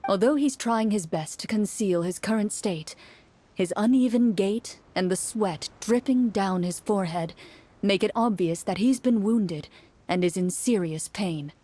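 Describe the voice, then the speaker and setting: A woman's voice: mid-range voice, a little slow, mellow, close to an everyday voice. A woman playing a game master, narrating a fantasy adventure in a scripted video game with dramatic, articulate storytelling. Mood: descriptive.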